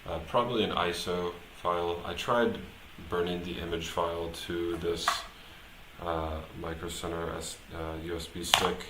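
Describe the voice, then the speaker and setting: A man thinking aloud as he live-streams his programming work, talking casually and haltingly, muttering to himself as he goes. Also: sits low, level tone. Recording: roomy echo, clear background noise, typing.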